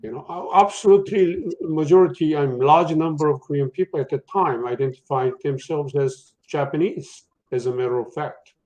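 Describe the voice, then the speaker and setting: A professor on a book-talk panel, a man who thinks aloud in a halting way, full of fillers and restarts. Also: fairly high pitch, darkish tone, low-quality recording. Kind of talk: panel discussion, academic.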